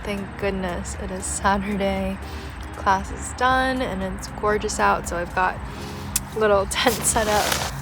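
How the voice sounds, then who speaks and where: A young woman narrating her day in an upbeat, chatty vlog, talking straight to her viewers. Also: mid-range voice. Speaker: vlogger. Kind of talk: casual day-in-the-life narration.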